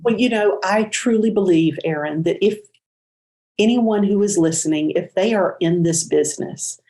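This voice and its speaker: A woman's voice: mid-range voice, normal speed, mellow. A woman guest on a podcast, speaking earnestly and encouragingly in casual interview chat.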